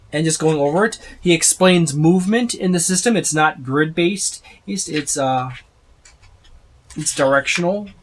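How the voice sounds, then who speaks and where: A man's voice: fairly high pitch, a little hiss or hum. A man giving a casual, unscripted walkthrough as a reviewer, rambling and listing items as he browses. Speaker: tabletop game book reviewer.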